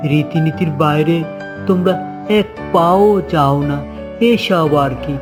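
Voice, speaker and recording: A man's voice: on the high side, lightly acted. A man voicing an earnest, obedient village boy who is eager to please, in a children's cartoon. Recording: music behind the voice.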